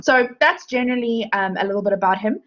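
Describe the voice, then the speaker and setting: A woman's voice: on the high side, fairly bright tone. Woman lecturer on dull lo-fi audio. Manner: measured, explanatory, with frequent ums. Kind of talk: recorded university lecture.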